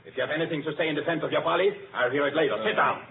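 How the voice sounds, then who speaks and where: An actor playing the cold, commanding leader of a masked secret society in an old radio drama, speaking in a formal, measured, authoritative way. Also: male voice, fairly high pitch, steady tone, vocal fry, crowd noise. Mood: stern, menacing. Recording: tinny, band-limited old radio recording.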